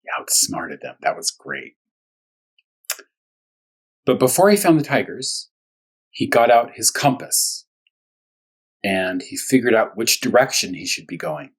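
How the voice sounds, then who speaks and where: A man speaking as a first grade teacher at storytime, talking to young children in simple, short, repeated phrases, with a husky voice. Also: mid-range voice, sing-song, measured pace, pauses often. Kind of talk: storytime read-aloud and discussion for young children.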